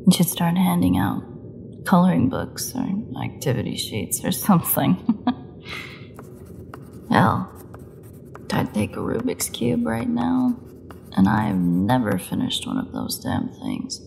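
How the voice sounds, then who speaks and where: A woman's voice: sits low, huge pitch swings, slow pace, creaky, long pauses, darkish tone, a little hiss or hum, full character voice. A woman playing a starving, imprisoned female vampire in an audio roleplay, taunting her captors with a cocky, sarcastic drawl. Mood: dry taunting. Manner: sarcastic, teasing drawl with dramatic drops. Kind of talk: solo audio roleplay acting.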